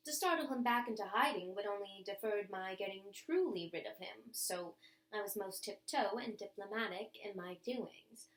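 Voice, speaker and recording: A woman giving an expressive, theatrical reading of old love letters, with deliberate pauses. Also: medium pitch, roomy sound, poor audio. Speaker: reader of bedtime stories.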